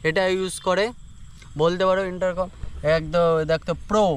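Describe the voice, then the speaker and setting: A young man with a slightly high voice talks in casual vlog style. He addresses the viewer like a buddy, with repeated filler phrases. The outdoor recording has some background noise. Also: little pitch movement, normal speed. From rural India. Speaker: moto vlogger. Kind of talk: casual unboxing vlog chat to camera. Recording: noisy outdoor ambience.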